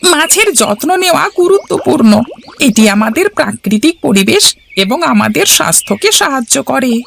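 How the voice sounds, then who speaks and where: A woman's voice: sits high, big pitch swings, normal speed, vocal fry, a bit bright, slight background noise, heavily acted. A woman playing an earnest, supportive character in a village cartoon, speaking formally. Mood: instructive. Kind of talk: scripted cartoon dialogue.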